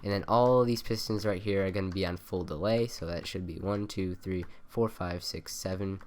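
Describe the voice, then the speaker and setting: A man's voice: deep voice, normal speed. A young man with a nasal voice rambling through a game tutorial, with lots of ums and self-corrections, on a cheap desk mic. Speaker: gaming tutorial maker. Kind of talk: casual game tutorial voice-over. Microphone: cheap USB desk mic by the keyboard.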